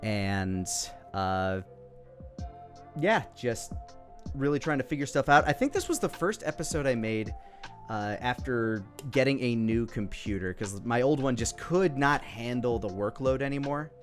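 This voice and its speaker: A man's voice: medium pitch, animated, measured pace. A man in his thirties with an American accent giving casual, off-the-cuff commentary in a rambling way, often interrupting himself. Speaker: video creator. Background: background music.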